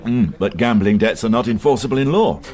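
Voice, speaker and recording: An actor with a British accent playing a middle-aged, brusque and exacting police inspector in a radio drama. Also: male voice, mid-range voice, brisk, a little mellow, close to an everyday voice. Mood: probing, businesslike. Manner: curt, commanding, probing.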